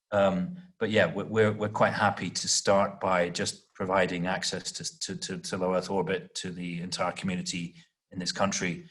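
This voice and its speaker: A man answering as an executive guest in an interview, explaining off the cuff with halting, repeated words as he searches for phrasing. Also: low-pitched, level tone, fairly bright tone. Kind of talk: panel interview, extemporaneous explanation.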